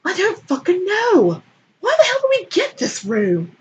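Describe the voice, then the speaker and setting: A woman's voice: very high-pitched, wildly swooping pitch, measured pace, muffled recording, a little hiss or hum, pitched above the performer's own voice, full character voice. A woman voicing a hot-tempered, foul-mouthed, flustered man in a comic dramatic fan fiction reading, exclaiming in exasperation. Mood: exasperated.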